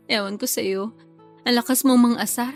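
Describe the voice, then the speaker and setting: A woman's voice: fairly high pitch, unhurried, faint music behind. An actress playing an anxious, coy young mother who cheats on her partner, in casual Tagalog radio drama dialogue. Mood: coy, embarrassed.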